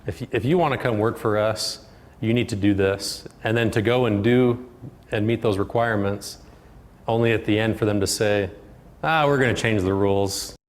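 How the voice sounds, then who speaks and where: A man's voice: on the low side, moderate pace. A young man answering interview questions as a recent graduate. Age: young adult. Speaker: air traffic control graduate being interviewed.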